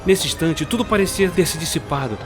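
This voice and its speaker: Man, slow dramatic storytelling, scripted narration, clear music bed underneath. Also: fairly high pitch, animated. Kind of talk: scripted dark fairy-tale narration.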